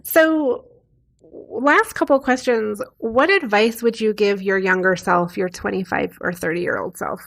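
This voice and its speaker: A woman's voice: medium pitch, unhurried, vocal fry, fairly bright tone. A woman hosting a podcast, asking questions in a friendly, upbeat way and reading the show's outro. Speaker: podcast host.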